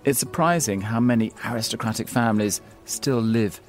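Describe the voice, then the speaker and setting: A man's voice: medium pitch, soft background music. Man narrating a wry travel essay. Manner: measured, wry storytelling. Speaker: columnist reading his piece. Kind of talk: scripted narration.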